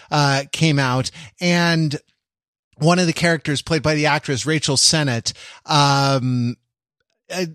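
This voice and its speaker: A man's voice: on the high side, fairly bright tone, no room sound, clean studio sound. Rambling, teasing man with a lightly raised voice. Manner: rambling, halting, self-interrupting; jokey, teasing. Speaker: podcast co-host. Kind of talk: casual chat.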